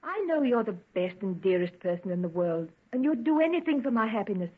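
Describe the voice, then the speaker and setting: An actress playing a worried, devoted young daughter with an emotional, pleading manner in an old-time radio drama. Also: female voice, mid-range voice, sing-song, fairly quick, husky. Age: young adult. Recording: muffled, tinny old radio recording.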